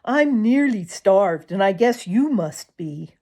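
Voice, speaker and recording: A woman's voice: mid-range voice, expressive, slow, warm tone. Lady doing a hungry woodpecker guy for a kids story, mumbly full-mouth talk, hearty and generous. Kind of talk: children's storybook character voice.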